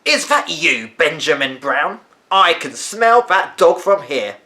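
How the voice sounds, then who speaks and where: A man plays an imperious old grandmother in a children's story. The voice is pitched up and swoops with disapproval. Every line sounds stern and haughty. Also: big pitch swings, slow, sharp tone, exaggerated voice. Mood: suspicious.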